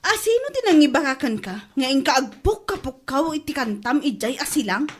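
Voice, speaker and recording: A woman's voice: high voice, big pitch swings, normal speed, slight background noise. A woman with an Ilocano Filipino accent acting a nagging, exasperated wife in a radio comedy drama. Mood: scolding.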